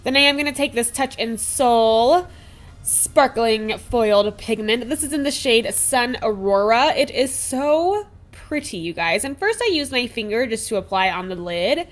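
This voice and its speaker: A woman's voice: on the high side, expressive, measured pace. A young woman in her twenties chatting casually through a makeup tutorial, a bit nasal from a cold. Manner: chatty and upbeat, lots of "like" and "super". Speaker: beauty vlogger. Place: small room at home with a sparkly backdrop.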